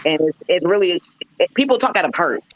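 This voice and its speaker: An American woman with a deep voice, talking bluntly and swearing freely in a casual panel discussion. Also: animated, brisk, a little hiss or hum. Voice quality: deep, bassy. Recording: slightly dull, lo-fi, dry sound.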